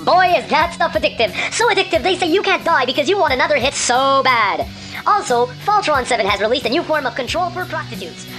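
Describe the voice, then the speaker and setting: An actor playing an excitable, flippant future newscaster with breezy announcer patter in a sci-fi radio play. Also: high-pitched, fairly quick. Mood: breezy, upbeat. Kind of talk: mock news broadcast in a sci-fi radio play. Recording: music behind the voice.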